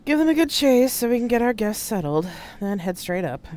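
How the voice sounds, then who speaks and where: A narrator voicing a commanding male intelligence chief in an audiobook story, brisk and urgent. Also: medium pitch, sing-song, a little mellow, a little hiss or hum, pitched above the performer's own voice, lightly acted. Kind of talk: audiobook character dialogue.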